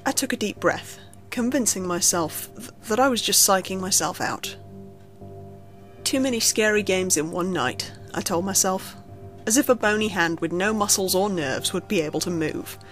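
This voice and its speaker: A woman reading a scripted first-person horror story in a measured, suspenseful storytelling manner. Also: mid-range voice. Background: background music under the narration.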